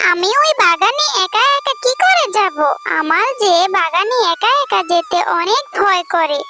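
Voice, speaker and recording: A woman's voice: very high voice, pushed and bright, heavily acted. A woman voicing a playful, pleading little girl animal in a children's cartoon, with a whining, coaxing manner.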